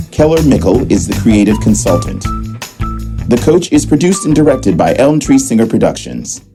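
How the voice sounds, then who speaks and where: A man playing the narrator of a comedy audio drama, reading scripted narration and credits. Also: lowish voice. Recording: music bed behind voice.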